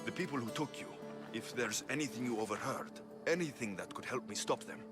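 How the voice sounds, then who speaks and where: An actor playing a stoic, battle-hardened mercenary in a video game, blunt and dry. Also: male voice, mid-range voice, a little fast, over music, natural voice. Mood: earnest, firm. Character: stoic, battle-hardened young male Greek mercenary, dryly sardonic. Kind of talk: video game cutscene acting.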